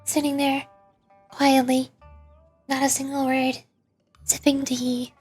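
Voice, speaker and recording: Female game streamer, high voice, playful asides, light music underneath. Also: monotone, slow, a little mellow. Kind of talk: livestream game playthrough, reading dialogue aloud and chatting.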